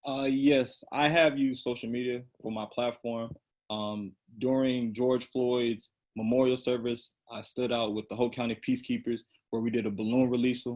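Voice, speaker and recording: Young male student athlete, mid-pitched, earnest and emphatic. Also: flat delivery, normal speed, a little mellow. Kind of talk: roundtable discussion.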